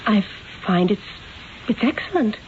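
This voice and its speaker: An actress in an old-time radio drama playing a gentle, anxious aristocratic young wife, earnest and theatrical, with formal diction. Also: female voice, medium pitch, very animated, unhurried, phone-quality sound. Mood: anxious, placating. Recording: thin, hissy old radio broadcast.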